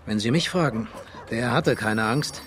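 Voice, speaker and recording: A man's voice: medium pitch, measured pace, background noise, lightly acted. An actor playing a blunt, unimpressed man giving his verdict in a radio crime drama. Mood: wry, matter-of-fact.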